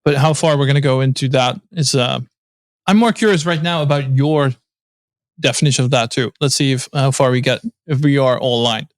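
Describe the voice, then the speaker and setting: A man co-hosting a podcast, thinking aloud in a measured, casual way. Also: mid-range voice. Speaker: podcast co-host. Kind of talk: casual interview chat.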